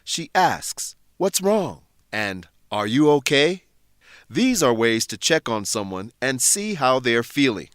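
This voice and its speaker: A man narrating a scripted English lesson, explaining things in clear phrases for learners. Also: medium pitch, sing-song, slow, fairly bright tone, no room sound, studio quality.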